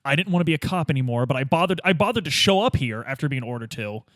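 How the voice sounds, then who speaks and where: A man's voice: on the high side, animated, quick pace, a bit bright, no room sound, studio quality. A man playing a role-playing game in casual chat, asking questions and thinking aloud.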